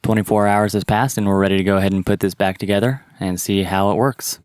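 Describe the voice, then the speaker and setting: A man's voice: on the low side, fairly even intonation, fast talker, darkish tone, smooth, no room sound, clean studio sound. A man narrating a step-by-step repair tutorial in a plain, instructional manner. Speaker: how-to presenter.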